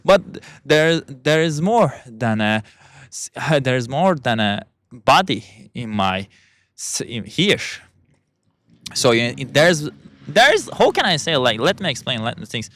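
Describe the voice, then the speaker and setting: A young man with a Turkish accent speaking English as a second language, talking in a casual monologue and often pausing to search for words. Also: mid-range voice, very animated, a bit bright, no echo. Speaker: vlogger.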